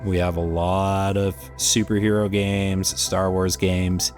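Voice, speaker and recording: A man's voice: deep voice, level tone, slow, darkish tone. A man presenting research as an informal explainer, speaking directly to viewers. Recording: music under the voice. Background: background music.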